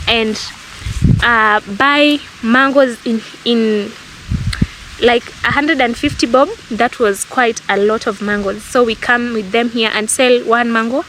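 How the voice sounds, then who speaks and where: A woman's voice: on the high side, measured pace, fairly bright tone, a little hiss or hum, poor audio. A young Kenyan woman with a Kenyan accent, casually recalling her childhood to camera as a vlogger, in a reflective, reminiscing way.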